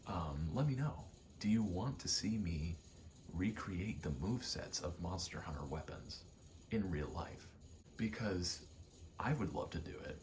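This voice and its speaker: A man with a very deep, hushed voice talks in a casual ASMR ramble about what he is doing in a game. He takes his time, moving slowly in short, halting pieces and often dropping into a whisper. There is faint noise behind him. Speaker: ASMR creator, gamer; actor and stage combatant.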